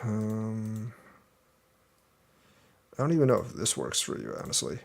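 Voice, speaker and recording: A man's voice: on the low side, sing-song, a little slow, creaky. A young man teaching a board game, casually reviewing a student's match and thinking aloud as he explains each move step by step.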